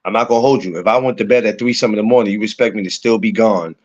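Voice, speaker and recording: A man's voice: fairly low pitch, very fast, a little mellow, muffled recording. A man, co-hosting a podcast, teasing his friend in playful banter. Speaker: podcast co-host. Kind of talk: casual chat.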